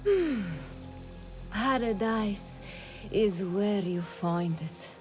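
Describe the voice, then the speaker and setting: Slow, lilting, imperious rich lady. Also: medium pitch, animated, over music. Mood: languid, imperious. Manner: languid, imperious. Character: pampered, commanding wealthy lady ordering servants about. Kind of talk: dramatic game cutscene acting.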